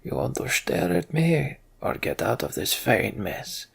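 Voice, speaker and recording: A man voicing a battle-scarred giant warrior commander with a gruff, challenging manner for a fantasy game. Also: mid-range voice, very animated, measured pace, a bit bright, full character voice. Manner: brusque, challenging.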